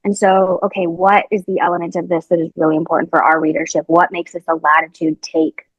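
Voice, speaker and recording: A woman's voice: fairly low pitch, level tone, fairly quick, no room sound. Chatty young woman on a dull call. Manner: chatty, lots of fillers and restarts. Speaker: reporter, podcast guest. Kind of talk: casual interview answers.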